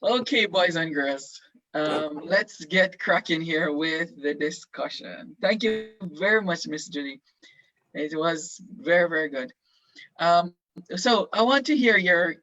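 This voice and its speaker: Brief: male teacher, bright and high-pitched, halting encouraging questions, dull dry video call sound. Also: lively intonation, no echo. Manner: halting and encouraging, many ums, slow prompting questions. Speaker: teacher leading a class discussion. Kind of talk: online classroom discussion.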